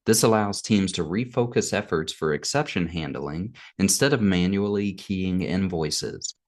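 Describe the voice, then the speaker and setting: Male narrator, low register, measured and instructional, for a scripted software walkthrough. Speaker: product demo narrator.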